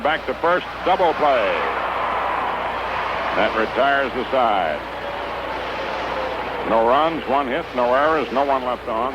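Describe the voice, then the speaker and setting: American baseball announcer, noisy vintage radio. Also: male voice. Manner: measured, clipped phrases with pauses between pitches. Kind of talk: 1950s radio sports commentary. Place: ballpark broadcast booth. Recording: noisy, dull vintage 1950s radio broadcast.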